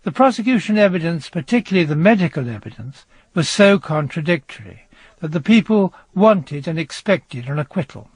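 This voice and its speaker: A man presenting a scripted true-crime radio programme, narrating in a measured storytelling manner. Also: fairly high pitch, sing-song, husky, no room sound.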